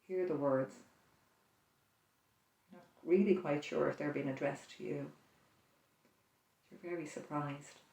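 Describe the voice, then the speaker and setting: Soft-spoken prayer leader in an echoey room. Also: medium pitch, vocal fry. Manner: slow, soft-spoken, reflective, with pauses. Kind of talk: guided imaginative prayer. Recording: roomy echo, distant mic.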